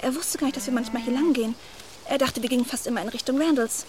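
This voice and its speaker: A woman's voice: high-pitched, quick pace, a little mellow, slight background noise, close to an everyday voice. An actress playing a naive, eager and deferential young woman in a radio play, artless and hesitant in her speech. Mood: flustered, excited.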